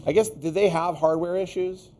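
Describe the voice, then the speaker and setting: A man's voice: sits high, steady tone, moderate pace, mellow, a little hiss or hum. A man hosting a casual, unscripted tech discussion, rambling and exasperated, tired and getting sick. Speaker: tech show host.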